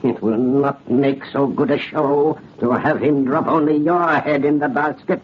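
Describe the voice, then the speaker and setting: Gloating, cruel revolutionary villain played by a man, unhurried mocking delivery, old radio horror style, muffled noisy recording. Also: mid-range voice, steady tone, close to an everyday voice. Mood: cruel mockery. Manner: mocking, sinister chuckling, deliberate. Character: gloating, cruel male revolutionary butcher commander. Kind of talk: old-time radio horror drama acting.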